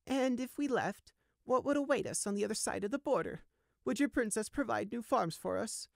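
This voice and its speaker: A man voicing a stubborn old female sheep elder with a wavering, defiant, high voice. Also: animated, brisk, creaky, no echo, clean studio sound, heavily acted. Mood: wary, pleading. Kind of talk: audiobook character voice.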